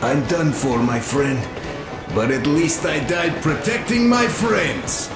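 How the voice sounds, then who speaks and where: An actor playing a wounded young Russian soldier in a video game, loyal and joking, with a chatty, teasing manner. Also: male voice, a little high, lively intonation, slow, creaky, heavily acted. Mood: weary, wry. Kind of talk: video game cutscene acting. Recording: roomy echo with music underneath.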